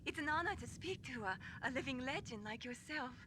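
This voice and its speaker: A friendly young female military tech expert in a video game. Her pitch is somewhat high and swings expressively, over a clearly noisy track. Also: expressive, fairly quick. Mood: friendly, flattered.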